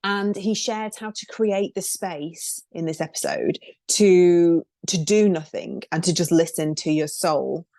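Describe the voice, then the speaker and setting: Podcast host lady, kinda low voice, chatty and excited, lots of likes and ums, dry close mic. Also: a little slow, hardly any pauses, dry recording. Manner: chatty, filler-heavy and enthusiastic. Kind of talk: podcast intro and interview.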